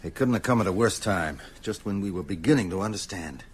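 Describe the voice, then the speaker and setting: An actor playing a troubled, thoughtful man in a radio drama, speaking deliberately. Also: low voice, animated. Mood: exasperated. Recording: faint background noise.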